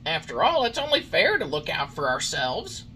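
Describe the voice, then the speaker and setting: A man's voice: a little high, huge pitch swings, normal speed, bright, forceful tone, soft background music, voice pushed up, heavily acted. A man voicing jeering, bullying schoolboys in a storybook reading, with a taunting, exaggerated manner. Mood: scornful.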